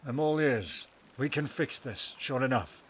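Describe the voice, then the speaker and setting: A man's voice: medium pitch, expressive, measured pace, a little hiss or hum, close to an everyday voice. An actor playing an earnest, easily flustered middle-aged father and ruler in an audio drama, emphatic and pleading, with folksy phrasing.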